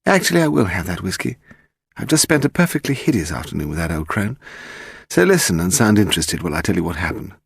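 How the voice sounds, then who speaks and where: A man's voice: low voice, sing-song, fast talker, vocal fry, husky, no room sound, clean studio sound, heavily acted. A man reading the part of a polite, persistent English woman, a writer's wife, in an audiobook mystery. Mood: wry, relaxed. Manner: poised, persevering.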